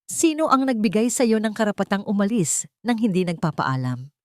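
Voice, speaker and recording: A haughty older matriarch, played by a woman narrator in an audio love drama. She scolds and questions imperiously, with a sharp edge. The sound is dry and close. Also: mid-range voice, big pitch swings, a little fast, dry recording, pitched above the performer's own voice, lightly acted. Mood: haughty scolding.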